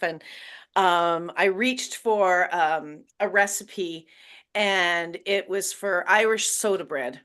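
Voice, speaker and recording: A woman's voice: mid-range voice, a bit bright, clear, resonant tone. A woman hosting a book launch on a video call, talking candidly and emotionally with hesitant fillers. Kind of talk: casual group chat.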